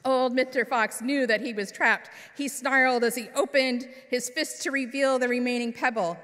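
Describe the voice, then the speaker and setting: A woman minister reading a children's story expressively, with dramatic pauses, in a large church hall. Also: sits high, fairly even intonation, a bit bright. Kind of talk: expressive storytelling for all ages. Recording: echoey hall with long reverb.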